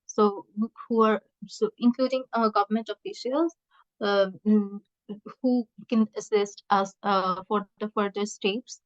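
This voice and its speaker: A woman researcher answering questions in an online meeting, speaking haltingly with many hesitant pauses. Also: medium pitch, steady tone, dry recording. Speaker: researcher presenting a project. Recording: muffled lo-fi online call audio.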